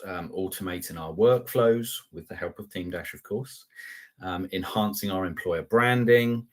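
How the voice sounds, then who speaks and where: A middle-aged man presenting a product webinar over a video call, talking conversationally with polite asides, fillers and restarts. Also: low voice. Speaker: customer success manager presenting a webinar. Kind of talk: live product webinar with slides and screen share. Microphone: video call webcam.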